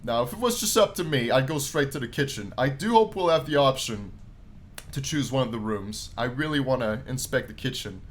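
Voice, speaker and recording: Animated man doing let's play narration. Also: medium pitch, fairly quick. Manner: animated, jokey, casual asides. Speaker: gaming YouTuber reading a visual novel aloud. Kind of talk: let's play commentary mixed with dramatic read-aloud narration.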